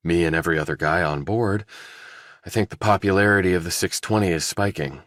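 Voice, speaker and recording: A man narrating a thriller audiobook, reading scripted prose and character dialogue in a deep voice. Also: brisk, fairly bright tone, no room sound, studio quality.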